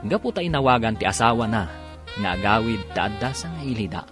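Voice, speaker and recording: A man's voice: fairly low pitch, lively intonation, quick pace. A man narrates a serialized radio drama in an Ilocano Filipino accent. His delivery swings expressively up and down, with prominent music playing beneath him. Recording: loud music bed under the voice. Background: loud background music.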